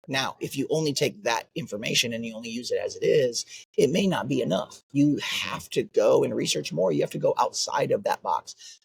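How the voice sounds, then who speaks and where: A man's voice: mid-range voice, creaky, slightly rough, dry recording. A man who runs a martial arts school, talking earnestly in an interview and often tagging his points with "right?". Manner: earnest, repeats "right?" as a tag. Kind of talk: interview conversation.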